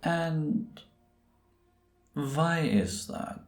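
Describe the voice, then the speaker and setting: A man playing Death as a grave, gentle reaper who speaks in a measured, deliberate way in an audio roleplay. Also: fairly high pitch, lively intonation, full character voice. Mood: solemn, probing. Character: solemn, gentle male Death, a reaper who was once human. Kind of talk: cinematic audio roleplay acting. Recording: roomy, reverberant sound.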